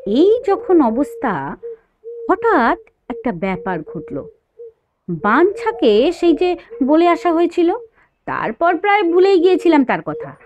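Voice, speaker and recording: A woman's voice: sits high, darkish tone. A woman reading a story as a dramatised, scripted narration. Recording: dull, faint noise.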